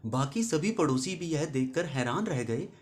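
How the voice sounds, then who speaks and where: A man's voice: a little high, animated, moderate pace. A man telling a scripted moral story as a host, addressing listeners in an earnest, instructive way.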